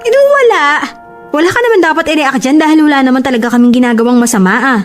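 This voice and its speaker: A woman's voice: mid-range voice, normal speed, warm tone, faint music behind, close to an everyday voice. A woman playing a devoted, hurt bride-to-be in a relationship drama, speaking emotively with a Filipino accent. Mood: hurt, indignant. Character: long-suffering, devoted bride-to-be, hurt and defensive.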